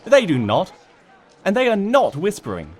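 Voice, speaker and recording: Man voicing a flustered, high-pitched girl pony. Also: wildly swooping pitch, normal speed, full character voice. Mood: indignant shouting. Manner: stammering and muttering, snapping back at a narrator. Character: flustered, indignant young female cartoon pony, easily exasperated.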